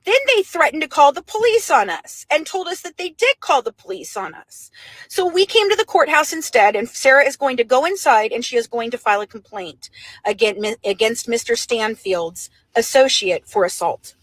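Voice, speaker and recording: A woman's voice: a little high, expressive, moderate pace, a bit bright, dry recording. A woman hosting an online update for her followers, speaking in an emphatic, dramatic way. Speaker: online show host. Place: outdoors by a courthouse.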